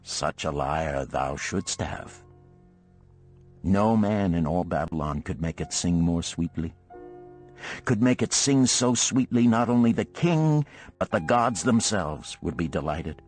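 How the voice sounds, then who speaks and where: A man plays a middle-aged craftsman of ancient Babylon, weary of being poor. He declaims earnestly in archaic phrases, with pitch that rises and falls widely. The pace is measured, as in an old parable. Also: animated, a little mellow, soft background music. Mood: admiring, resigned.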